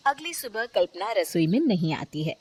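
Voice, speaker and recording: A woman narrating a scripted cartoon moral story in an expressive storytelling style. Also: medium pitch, moderate pace, slightly rough, no echo. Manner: animated, expressive.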